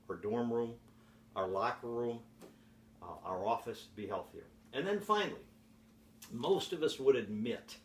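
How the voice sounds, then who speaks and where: Man, 60s, deliberate home-video pitchman, on the low side, recorded at a distance with some noise. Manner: deliberate, with repeated rhetorical questions. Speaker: presenter pitching home health products. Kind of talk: informal sales presentation to camera. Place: home office, indoors. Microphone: camera mic from across the room. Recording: echoey, distant camera mic with background hiss.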